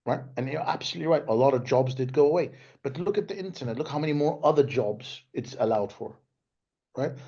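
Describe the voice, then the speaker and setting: A man's voice: medium pitch, darkish tone, muffled recording. A man raised in Denmark, speaking as a guest on a webinar in a conversational way, often tagging his points with "right?" and restarting his phrases. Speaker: guest speaker, tech executive. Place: remote video call. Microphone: video call microphone.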